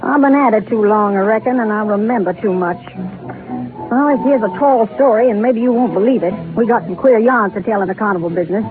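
An actress playing an older, folksy Southern carnival owner who rambles and confides like a storyteller in a 1930s radio drama. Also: female voice, mid-range voice, a little fast, close to an everyday voice. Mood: wistful. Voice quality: folksy, rural speech. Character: folksy aging Southern carnival show owner, wistful, garrulous woman. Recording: tinny scratchy 1930s radio broadcast. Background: music.

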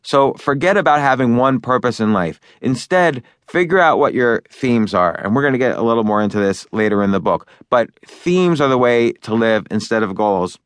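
A man's voice: medium pitch, animated, moderate pace, hardly any pauses, no echo, clean studio sound. A man narrating his own book in a loose, conversational way, with fillers and restarts as he talks over each point. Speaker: author and narrator.

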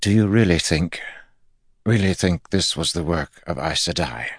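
A man's voice: deep voice, animated, moderate pace, slightly rough, no room sound, clean studio sound, voice pushed up, heavily acted. A man voicing a young lord earnestly questioning his commander in a storybook. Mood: doubtful. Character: young male lord, doubtful.

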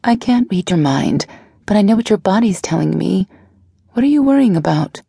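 A woman's voice: mid-range voice, lively intonation, moderate pace, gravelly, mellow, slightly rough, dry recording, clean studio sound, pitched above the performer's own voice. A woman reading an audiobook voices a fiery, devoted young woman who scolds and pleads with her partner. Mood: pressing. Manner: pleading, insistent.

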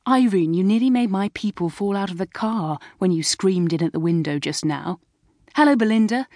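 A woman's voice: mid-range voice, lively intonation, normal speed, darkish tone, no echo, studio quality, close to an everyday voice. A woman voicing a self-assured, chatty schoolgirl of about sixteen in a storybook. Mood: brisk, friendly. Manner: chatty, slightly superior.

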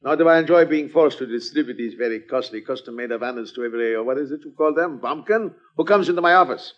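Old male bank boss, acted by a man, radio drama, husky, pompous, excitable, very animated delivery, dull vintage recording. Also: mid-range voice, fast, lightly acted. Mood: amused, pompous. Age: older. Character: impertinent, self-important, jealous old male bank boss.

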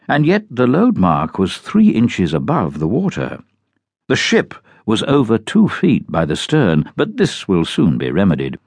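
A man narrating an audiobook of a journal, reading in a measured, literary and expressive manner. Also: deep voice, breathy edge, no echo. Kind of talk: scripted dramatic reading of a journal.

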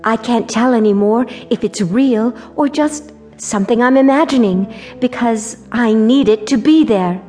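An actress playing a gentle, wistful ballet dancer in a radio drama, sounding lost and resigned. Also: female voice, a little high, a little slow, faint music behind, lightly acted.

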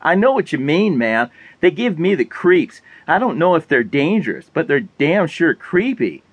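A man's voice: a little high, big pitch swings, normal speed, breathy edge, no echo, lo-fi sound, voice pushed up. Man acting a scruffy, uneasy male survivor. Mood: creeped out.

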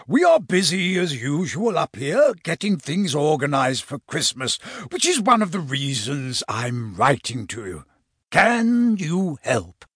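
Elderly jolly uncle figure, acted by a man, storybook, rumbly, husky, slow, affectionate, animated intonation. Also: no room sound, clean studio sound, heavily acted. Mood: affectionate, weary. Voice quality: deep, rumbly.

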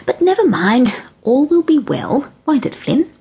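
A woman's voice: high-pitched, big pitch swings, a little slow, gravelly, warm tone, breathy edge, voice pushed up, heavily acted. A woman doing a cheerful, soothing grandmother. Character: warm older grandmother, gently cheerful.

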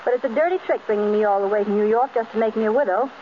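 A woman's voice: fairly high pitch, rapid-fire, highs cut off, natural voice. An actress playing a playful, spirited wealthy wife in a 1930s radio drama, scolding her husband in jest. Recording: scratchy 1930s radio broadcast.

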